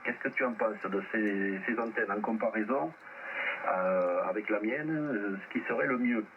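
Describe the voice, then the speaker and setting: A man's voice: fairly low pitch, moderate pace. A man chatting casually and a little hesitantly as an amateur radio operator, over a radio transmission. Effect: ham radio transmission. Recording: muffled, noisy radio link.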